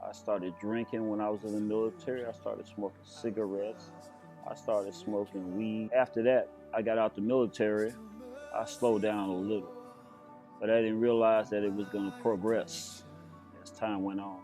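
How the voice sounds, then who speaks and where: A man with a fairly low voice tells his own story as a testimony. He speaks reflectively, in halting phrases, with faint music behind him. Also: level tone, warm tone. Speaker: church member giving testimony. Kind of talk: personal testimony.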